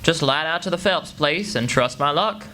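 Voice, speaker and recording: A man's voice: fairly high pitch, lively intonation, normal speed, sharp tone, a little hiss or hum. An actor playing a backwoods boy who narrates his tale in a folksy, plain-spoken way. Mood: troubled, determined. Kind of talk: scripted first-person radio play narration.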